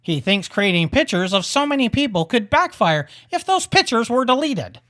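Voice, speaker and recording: A woman narrating a scripted audio drama in a deliberate storytelling manner. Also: on the high side, sing-song, a bit bright. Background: music.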